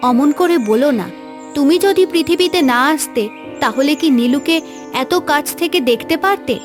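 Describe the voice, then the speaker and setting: A woman voicing a gentle, reassuring confidante in a fairy-tale cartoon, soothing and coaxing. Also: high-pitched, normal speed, over music, close to an everyday voice. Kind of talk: Bengali fairy-tale cartoon dub acting.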